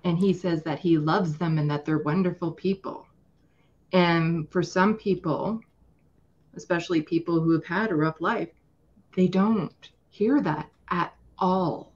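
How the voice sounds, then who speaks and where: Woman, lowish voice, muffled lo-fi call sound, earnest, emphatic, casual political talk. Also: a little slow, darkish tone.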